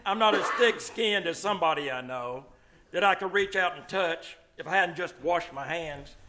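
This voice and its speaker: Guy playing a wry small-town doc in an old radio comedy, high voice, bit of background noise. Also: moderate pace, close to an everyday voice. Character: wry, teasing veteran small-town doctor. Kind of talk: old-time radio comedy acting before an audience. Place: live stage performance at a venue.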